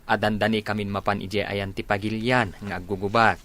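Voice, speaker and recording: A man's voice: on the low side, flat delivery, a little fast, a bit bright, natural voice. A man acting a respectful young soldier in a radio drama, with an Ilocano accent. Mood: sober, respectful. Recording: noticeable background noise.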